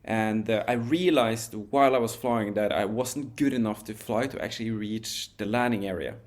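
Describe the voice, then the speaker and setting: A man, telling a story as a podcast guest in a relaxed, measured way with frequent restarts. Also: fairly low pitch. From Norway. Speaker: guest, wingsuit pilot.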